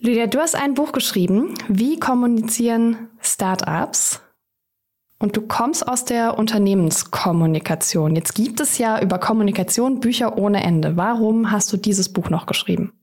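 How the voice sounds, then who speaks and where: A woman's voice: fairly high pitch, moderate pace, darkish tone. Podcast host lady, chatty and upbeat, voice kinda worn out from being sick. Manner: upbeat, effusive introductions. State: slightly under the weather, voice strained. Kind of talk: conversational podcast interview.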